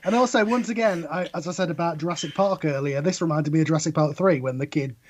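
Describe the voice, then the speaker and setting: A man's voice: on the high side, fast talker. A man chatting casually as a podcast guest, giving sardonic, joking commentary with some offhand swearing.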